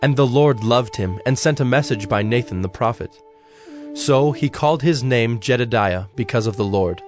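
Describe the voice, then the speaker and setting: A man narrating scripture in a measured, formal way as a scripted reading. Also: medium pitch, a little mellow, soft background music.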